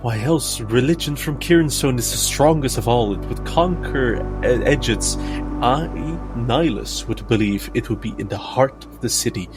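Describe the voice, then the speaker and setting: A man with a mid-range voice describes his character's thoughts and moves as a tabletop player. His phrasing is deliberate and dramatic, with music clearly behind him. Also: medium pitch. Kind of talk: descriptive third-person roleplay narration.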